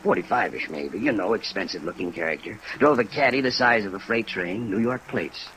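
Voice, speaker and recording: An actor playing a gossipy, folksy American apartment manager in a 1950s radio drama, chatty and slangy. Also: male voice, fairly low pitch, expressive, brisk, fairly bright tone, poor audio. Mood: gossipy, offhand. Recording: old radio recording with rain noise.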